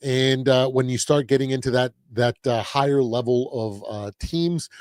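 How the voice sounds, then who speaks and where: A man hosting a casual sports talk livestream, his voice a little raspy while he gets over a cold, rambling with lots of restarts and fillers. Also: mid-range voice, fairly even intonation, normal speed, a little mellow, no echo, studio quality. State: recovering from a cold. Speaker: host.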